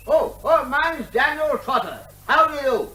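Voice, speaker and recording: An actor playing a dotty, stubborn eccentric, blandly polite and evasive, in a radio comedy drama. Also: male voice, extremely high pitch, lively intonation, normal speed, bright, forceful tone, full character voice. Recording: echoey room with faint background noise.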